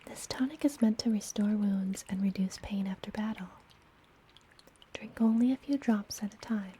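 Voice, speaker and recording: A woman playing a friendly apothecary witch in a fantasy ASMR roleplay, speaking gently in a breathy, soothing whisper. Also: medium pitch, a little hiss or hum, lightly acted. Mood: calm, instructive. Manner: slow, gentle, soothing delivery. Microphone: close ASMR microphone.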